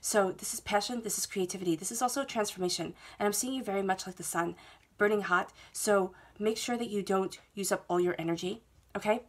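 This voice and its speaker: A woman's voice: mid-range voice, fairly quick, hardly any pauses. A woman with a head cold giving a tarot reading at a table, talking in a soothing, reassuring way and often checking in with "okay?". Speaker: tarot reader. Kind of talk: monthly tarot reading to camera. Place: indoors at a table, reading laid-out cards.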